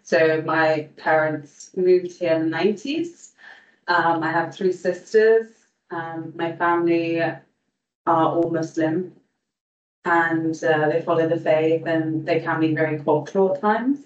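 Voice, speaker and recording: A woman's voice: sits low, fairly even intonation, unhurried, slight background noise. A woman from London introducing herself earnestly at a round-table meeting. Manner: earnest, with frequent "um". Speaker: panelist. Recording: distant, echoey, dull lo-fi room sound.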